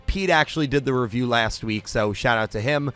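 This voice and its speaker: A man's voice: medium pitch, a little fast, a bit bright, smooth, faint music behind. A young man with a head cold, hosting an anime episode review in an enthusiastic, rambling way. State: congested from a cold. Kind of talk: casual solo video review.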